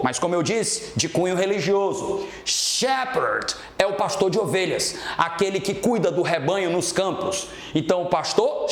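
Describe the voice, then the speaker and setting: A man's voice: on the high side, fairly bright tone. A Brazilian man teaching a grammar lesson as an English teacher, explaining didactically and checking that students follow. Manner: didactic, checks understanding often. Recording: roomy, echoing room sound.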